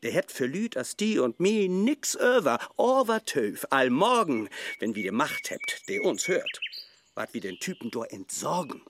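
An actor playing an indignant, self-righteous, bigoted male protester ranting in a rhymed radio play, with a Low German accent. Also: big pitch swings, a little slow, noisy background. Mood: menacing. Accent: Low German, northern Germany. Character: indignant, xenophobic small-town grumbler, blustering male protester.

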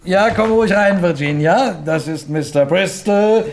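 An actor playing a sleazy, smug music producer in a 1960s German radio drama, with an oily, mock-friendly manner. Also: male voice, high voice, a little slow, a little hiss or hum.

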